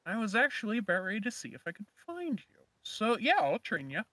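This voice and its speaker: A woman's voice: mid-range voice, sing-song, fairly quick, sharp tone, dry recording, poor audio. A woman playing an easygoing, offhand dragon slayer mentor in a game roleplay, musing casually. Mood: casual, agreeable. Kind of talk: amateur roleplay acting in a game video.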